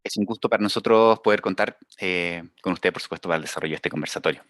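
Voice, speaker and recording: A man's voice: low voice, quick pace, a bit bright, dry recording, studio quality. A man with a Chilean accent moderating a panel, formally introducing a guest, with small hesitations as he reads. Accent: Chilean Spanish.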